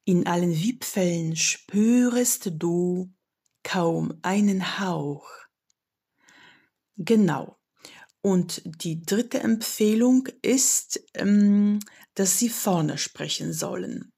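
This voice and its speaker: A woman from Poland with a Polish accent, a voice coach giving measured, instructive explanations in an interview. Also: lowish voice, creaky, mellow, breathy edge. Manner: measured and instructive, demonstrates examples. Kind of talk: slow, deliberate expert explanation.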